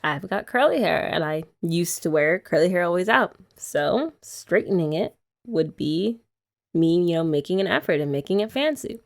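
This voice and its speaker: Chatty young woman, gravelly lively ASMR voice. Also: on the low side, moderate pace. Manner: chatty, giggly, rambling with light laughs. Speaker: ASMR roleplay host playing a girlfriend. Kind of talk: soft-spoken ASMR roleplay chat.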